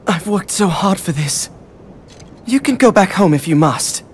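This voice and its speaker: An actor playing an eager, headstrong young male adventurer in an animated game, earnest and persuasive. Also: high voice, unhurried, a little hiss or hum. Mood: determined. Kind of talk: animated game cutscene acting.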